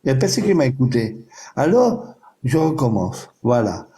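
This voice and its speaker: A man in a casual group voice chat who talks slowly and insistently, repeating his phrases. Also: medium pitch, very animated, warm tone, slight background noise. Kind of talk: casual group voice chat, repetitive rhetorical questions.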